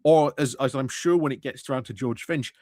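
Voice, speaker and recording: A man's voice: medium pitch, brisk, a little mellow, no room sound, studio quality. A man giving casual commentary on meeting clips, hesitant, with many fillers and restarts. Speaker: political commentary streamer.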